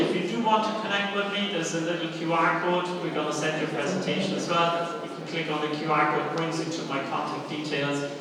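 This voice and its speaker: A middle-aged man from Germany, a native German speaker, lecturing students in a seminar and often checking in with short questions. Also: fairly high pitch. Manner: rhetorical questions with frequent check-in tags like okay and right. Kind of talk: live seminar presentation to students. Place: large indoor hall, speaking to an audience. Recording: very echoey hall, distant microphone.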